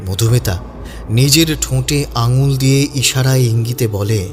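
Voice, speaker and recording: A man's voice: medium pitch, unhurried. A man narrating a scripted story in a dramatic audiobook style. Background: background music.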